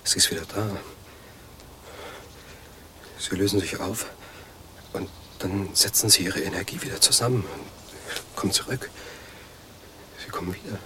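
Ein Schauspieler als wahnhafter Anstaltspatient in einem Hörspiel, der eindringlich und verschwörerisch von seinen Ängsten redet. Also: male voice, low voice, unhurried, pauses often, pushed and bright, slight background noise. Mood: frightened. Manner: urgent, conspiratorial explaining. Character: delusional asylum patient, obsessively weeding, fearful of women. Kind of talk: German radio drama acting.

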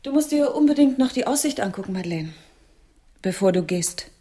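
An actress playing a condescending sister-in-law in a radio drama, falsely sweet and mocking. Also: female voice, mid-range voice, expressive, fairly quick, warm tone, husky. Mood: cool, smooth. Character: Andrea, condescending, sugary-sharp sister-in-law running a charity. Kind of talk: radio play acting.

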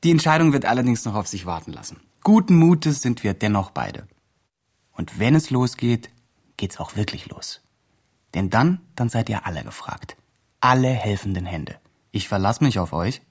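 A man's voice: lowish voice, very animated, moderate pace, husky, full character voice. An actor playing an earnest, upbeat young fundraising campaigner who narrates his progress in an eager, self-important way. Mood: hopeful, rallying. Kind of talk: scripted campaign diary narration.

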